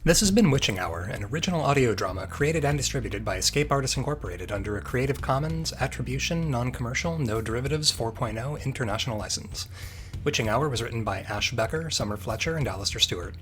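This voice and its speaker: A man's voice: mid-range voice, expressive, quick pace, slight background noise. A man reading scripted end credits as the announcer of an audio drama. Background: music.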